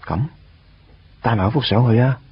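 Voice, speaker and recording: An actor playing a shy, earnest teenage schoolboy in love, narrating and acting in a Hong Kong Cantonese radio drama. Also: male voice, medium pitch, moderate pace, vocal fry, a little hiss or hum, lightly acted. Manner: hesitant, tongue-tied, earnest. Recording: dull lo-fi radio drama audio. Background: guitar music.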